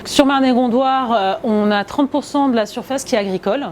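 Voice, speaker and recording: A woman's voice: on the high side, fairly quick, slight background noise. A woman, speaking as a local project representative in an explanatory interview.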